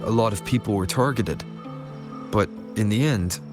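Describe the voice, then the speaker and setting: Man acting low-voiced, sceptical game character. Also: moderate pace, close to an everyday voice. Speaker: video game voice actor. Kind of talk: scripted game dialogue. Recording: loud music behind the voice. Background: music.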